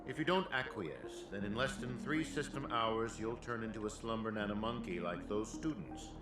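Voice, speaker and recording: Male actor as a scheming monkey professor, deep and sneering, roomy, music-heavy mix. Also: normal speed, close to an everyday voice. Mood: threatening. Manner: condescending, menacing. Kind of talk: video game voice acting. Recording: roomy game audio with loud music.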